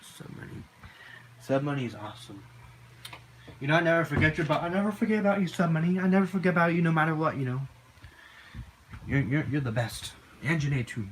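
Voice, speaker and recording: Deep-voiced man rambling in a vlog. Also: expressive, fairly quick, pauses often, slight background noise, low-quality recording. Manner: rambling, with constant "you know" fillers and repeats. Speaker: vlogger. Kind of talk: casual personal vlog monologue.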